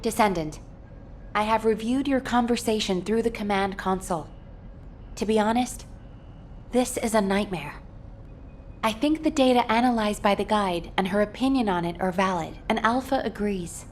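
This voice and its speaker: Serious cautious officer acted by a woman, mid-range voice, frank, businesslike, measured, video game, faint ambient noise. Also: mid-range voice, lightly acted.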